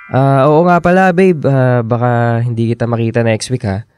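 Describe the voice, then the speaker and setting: An actor playing a young, sweet, easygoing boyfriend in a radio drama, coaxing and earnest in casual conversational dialogue. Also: male voice, medium pitch, fairly even intonation, moderate pace, darkish tone, smooth, soft background music, natural voice. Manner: casual, coaxing, hesitant stammers and chuckles.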